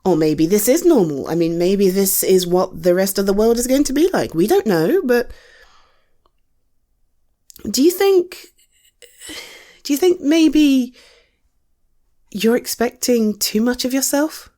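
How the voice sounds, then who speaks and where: Soft mellow voice, British woman acting a caring female loved one, slow pace, gentle reassurance, hesitant coaxing, intimate audio roleplay. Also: fairly high pitch, animated. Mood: gently cautioning.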